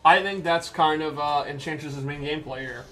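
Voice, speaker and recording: A man's voice: fairly high pitch, moderate pace, a bit bright, low-quality recording. A man giving enthusiastic, explanatory commentary on a casual live gameplay stream as a game developer. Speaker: game developer demonstrating a character.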